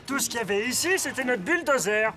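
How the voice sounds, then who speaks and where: A woman plays a chirpy, friendly toy builder in a children's cartoon. The voice is high and very lively in pitch, with loud music behind it. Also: quick pace, natural voice. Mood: baffled. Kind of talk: French children's cartoon dubbing.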